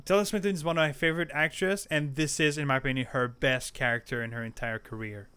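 A young man co-hosting a film podcast, talking casually with bursts of excitement and some swearing. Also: on the high side, fast talker, clean tone. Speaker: podcast co-host. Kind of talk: casual chat.

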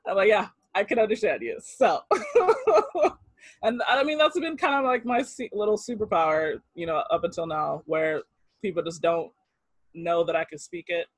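Dry-voiced man, halting and laughing. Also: high voice, animated, breathy edge, no room sound. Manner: halting, lots of fillers and restarts, laughs easily. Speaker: interviewee. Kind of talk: casual storytelling interview.

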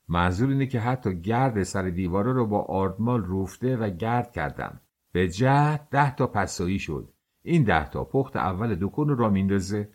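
A man's voice: fairly low pitch, darkish tone. A man voicing an old male bakery worker over sixty, speaking with a slack, drawling Kermanshah accent and a grumbling manner.

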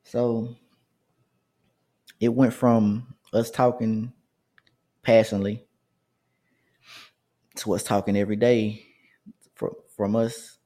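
A man's voice: on the low side, little pitch movement, slow pace, long pauses, dark tone. A man in his thirties telling a personal story in a casual, rambling way, with asides to his listeners. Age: 30s. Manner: conversational, rambling with restarts and asides.

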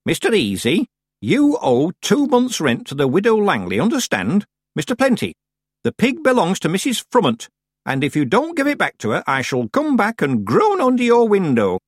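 Ancient village witch, acted by a male narrator: high, shrill, imperious and mischievous, wildly swooping storybook delivery. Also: wildly swooping pitch, moderate pace, breathy edge, dry recording, studio quality, heavily acted. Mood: imperious scolding. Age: over 100. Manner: imperious, theatrical scolding. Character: proud, bossy, mischievous very old witch woman.

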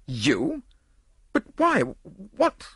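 A male narrator puts on a haggard nobleman, thoroughly bewildered. He splutters and exclaims in a raised voice that swings wildly in pitch. It is a dry, close audiobook recording. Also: very animated, normal speed, a bit bright, husky, no echo, exaggerated voice. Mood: agitated. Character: haggard, distraught English nobleman, easily bewildered. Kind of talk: dramatized audiobook dialogue.

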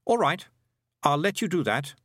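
Guy doing a lost 12 yr old boy in an audiobook, snooty but worried, high voice, very up and down. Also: normal speed, dry recording, clean studio sound. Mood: haughty, doubtful. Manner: excitable, emphatic. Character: twelve-year-old lost boy, haughty yet anxious.

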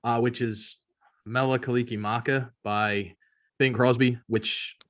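A man's voice: medium pitch, fairly even intonation, measured pace, a bit bright. An American man co-hosting a podcast in casual, conversational chat. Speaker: podcast co-host.

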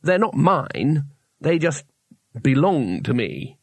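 A man's voice: sits high, sing-song, normal speed, dry recording, pitched above the performer's own voice, exaggerated voice. A man voicing a naive, chatty male tourist in a storybook, polite and earnest with a hesitant way of explaining. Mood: careful, earnest.

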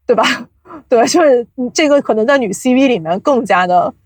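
A woman's voice: on the high side, animated, normal speed, darkish tone, dry recording. A woman explaining at length, with examples, in a casual podcast interview as an invited guest. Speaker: guest, audio drama producer.

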